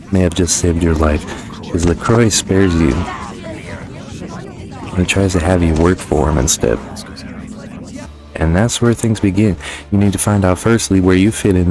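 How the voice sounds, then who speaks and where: A man giving a casual, conversational video game review voice-over in slangy, sometimes profane language. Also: low voice.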